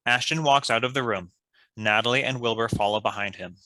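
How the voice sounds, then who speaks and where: A man's voice: mid-range voice, fairly quick, sharp tone, no room sound, low-quality recording. A man reading stage directions as scripted narration.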